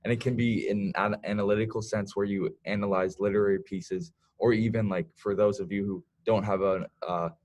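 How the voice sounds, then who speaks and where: A teenage boy from Germany, a student organizer, talking in a relaxed, upbeat way with fillers on a video call. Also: lowish voice, brisk, few pauses. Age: teens. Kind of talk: casual video call meeting.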